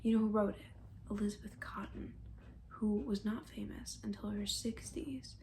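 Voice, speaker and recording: A woman speaking in a soft, breathy near-whisper, rambling gently and hesitantly in casual ASMR style as a comforting camp counselor. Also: medium pitch, fairly even intonation, normal speed, poor audio. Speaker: ASMR roleplay creator.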